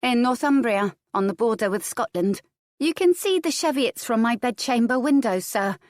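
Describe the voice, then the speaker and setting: A woman's voice: fairly high pitch, animated, moderate pace, no room sound, studio quality, pitched above the performer's own voice, lightly acted. A woman voicing a small, proud noble girl, blunt and self-possessed, with formal speech in a historical audiobook. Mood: matter-of-fact.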